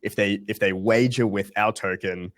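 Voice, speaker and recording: A man's voice: fairly low pitch, fairly even intonation, moderate pace, no echo. A young man in a webinar interview, talking casually with lots of ums and restarts. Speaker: guest founder.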